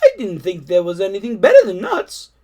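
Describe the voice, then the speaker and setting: A storyteller voicing a chatty monkey in a high, squeaky, excitable voice. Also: fairly quick, muffled recording. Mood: excited.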